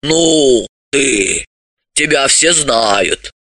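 A man's voice: medium pitch, lively intonation, drawn-out words, pushed and bright, no echo, clean studio sound, exaggerated voice. A man voicing a good-natured woman company boss who is curious and easily surprised, in audiobook dialogue. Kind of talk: audiobook character voice.

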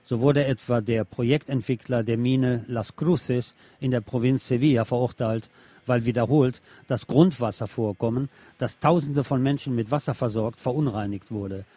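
Mellow, slightly husky man reading aloud. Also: mid-range voice, normal speed, no echo. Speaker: radio presenter. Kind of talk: reading press articles aloud.

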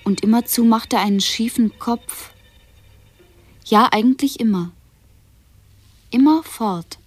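A woman's voice: on the high side, slow pace, slightly rough, soft background music. A woman playing a tender, pitying figure in a radio drama, speaking in a soothing, hesitant way. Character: tender, pitying woman hovering over a sufferer. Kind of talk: 1970s German radio drama acting.

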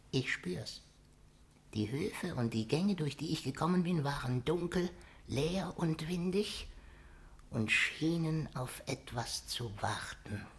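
An actor in a 1950s radio play voicing a stunted dwarf acrobat, young, proud, wry and world-weary, with a theatrical, sardonic delivery. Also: mid-range voice. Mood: hushed, uneasy. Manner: theatrical, sardonic, shifting between hushed and sharp.

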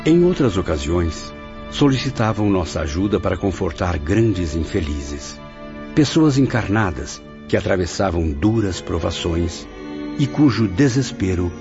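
A man's voice: low-pitched, big pitch swings, unhurried, heavily acted. A man narrating a radio drama from a script in a solemn, formal, grandiose manner. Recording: muffled radio play over music.

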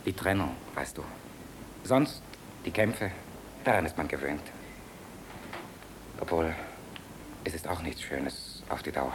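An actor playing a weary, tender fighter pilot in a radio drama, speaking in a soft, hesitant, reflective manner. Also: male voice, extremely low pitch, lively intonation, slow pace, long pauses, background noise, heavily acted. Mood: wistful, troubled. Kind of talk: German radio drama acting.

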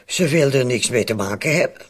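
A weary brothel madam in a radio drama. The voice is medium in pitch with very lively intonation. The remarks are short and matter-of-fact, as from a seasoned conspirator. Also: male voice, brisk, husky. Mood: confiding. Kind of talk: Dutch-language radio play acting.

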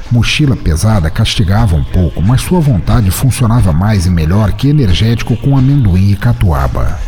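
A man's voice: lowish voice, mellow. A Brazilian man narrating fiction from a script in a dramatic, wry, ironic storytelling manner. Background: music.

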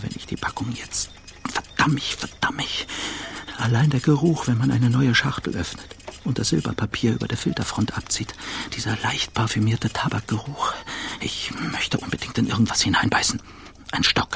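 An actor in a radio play playing a jittery, obsessive addict in a breathy, half-whispered, intense inner monologue. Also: male voice, fairly low pitch, fairly bright tone, slight background noise. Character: jittery, obsessive man craving a cigarette.

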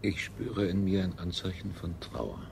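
An actor playing a grave, formal scientist in a science fiction radio play. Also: male voice, low-pitched, measured pace. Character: grave, formal male scientist among survivors. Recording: clear background noise, 1970s radio production.

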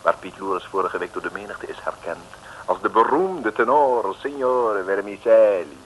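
A man's voice: on the low side, animated, normal speed, fairly bright tone, slight background noise, rough recording. A man narrating an old comic radio serial in a wry, playful storytelling manner with conversational asides.

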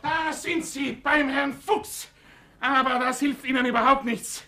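An actor playing a threatening, self-important wartime party official in a 1960 radio drama, officious and barking. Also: male voice, very high voice, fairly even intonation, measured pace, sharp tone, slight background noise, full character voice. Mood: accusing. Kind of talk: 1960 German-language radio drama acting.

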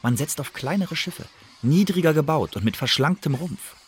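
An actor in a radio play playing a secret agent who delivers an intelligence report in a formal, measured manner. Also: male voice, a little high, animated, husky. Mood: businesslike.

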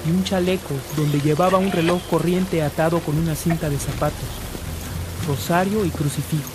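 A man's voice: fairly high pitch, steady tone, normal speed, background noise, lightly acted. A man with a Mexican accent playing the earnest storyteller of a scripted radio drama. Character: earnest storyteller narrating a priest's life. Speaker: narrator.

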